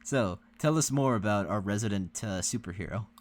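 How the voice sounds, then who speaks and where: A man with a somewhat low voice chats as a reaction co-host. He is easygoing and agreeable, commenting casually with some hesitation. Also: fairly quick.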